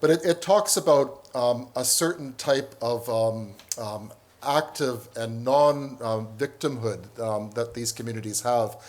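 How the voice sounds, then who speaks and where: A middle-aged man from northern Canada, a professor giving a conference talk, full of pauses and restarts. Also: mid-range voice, darkish tone. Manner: hesitant, many ums and restarts. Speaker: professor and panel speaker.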